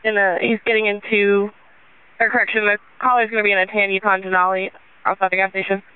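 A woman dispatcher speaks over police radio in a clear, smooth voice. Her pitch is average, and the transmission is thin and phone-like. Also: little pitch movement, fast, no echo.

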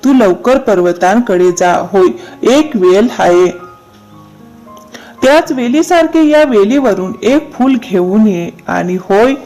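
Male actor as resourceful owl for children's animation, unhurried delivery, repeats key words as he advises. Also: high-pitched, lively intonation, a little mellow, faint music behind, heavily acted, rough recording. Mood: scheming, urgent. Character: wise, resourceful male owl giving advice. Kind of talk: children's cartoon story dialogue.

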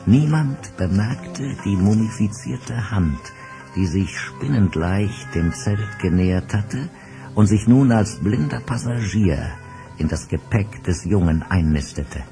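A man's voice: fairly low pitch, expressive, slow pace, gravelly, warm tone. A man narrating a children's adventure audio drama as scripted, dramatic storytelling. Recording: music bed under narration.

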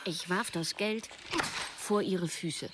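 A woman's voice: fairly low pitch, takes it slowly, noisy background. An actress playing a self-doubting woman in her thirties, narrating her own story reflectively in a radio drama. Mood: recounting. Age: 30s. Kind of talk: scripted first-person narration. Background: music.

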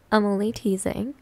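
A woman playing a confident, playful senior maid who teases and flirts, in an anime-style ASMR roleplay. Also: medium pitch. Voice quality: breathy, soft. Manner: coy, teasing. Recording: music behind the voice.

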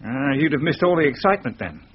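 An actor playing a chatty, sympathetic British estate agent in a radio thriller. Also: male voice, on the high side, very animated, quick pace, slightly rough. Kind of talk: radio thriller drama acting. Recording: dull radio drama recording.

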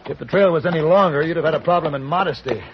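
An actor playing a shrewd, self-assured gunfighter for hire in a 1950s radio western, with an American accent and a deliberate, taunting delivery. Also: male voice, on the high side, natural voice. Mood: determined. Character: shrewd, cool-headed gunfighter for hire in the Old West. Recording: noisy, dull 1950s radio recording.

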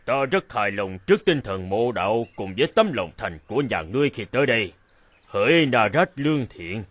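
Stately, wise god, swooping intonation. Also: male voice, mid-range voice, sing-song, fairly quick, no echo. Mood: benevolent, solemn. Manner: stately, lecturing. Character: solemn, wise bearded male god on high. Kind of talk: dubbed children's cartoon acting. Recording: prominent music under the voice.

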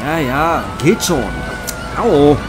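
An actor playing a wincing police detective in a comic radio play, with a martyr-like manner. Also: male voice, fairly high pitch, lively intonation, takes it slowly, background noise. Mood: brave. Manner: pained, martyr-like. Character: wincing, stoic-acting male police detective. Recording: clear music behind the voice.

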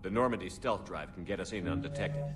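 An actor playing a male starship commander in a video game, speaking in a clipped, calm, commanding way. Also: deep voice, fast, over music, natural voice. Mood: steady. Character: male military commander, steady and decisive. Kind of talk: video game cutscene acting.

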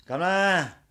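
A man's voice: fairly high pitch, bright, forceful tone, heavily acted. A man with a Hong Kong Cantonese accent playing an easygoing, boastful engineer friend in a 1980s radio drama.

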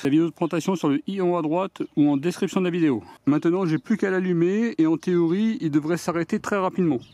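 DIY host, man, slightly high voice, plain practical step-by-step delivery, recorded outdoors on camera mic. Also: dark tone, no room sound. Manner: plain step-by-step explaining, addresses viewers directly. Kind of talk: hands-on product demonstration. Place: outdoors on a garden deck.

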